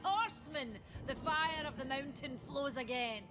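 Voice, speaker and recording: A woman voicing a proud, forceful forge maker in a video game, brisk and commanding. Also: high-pitched, animated, dull audio, music in the background. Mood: scornful surprise.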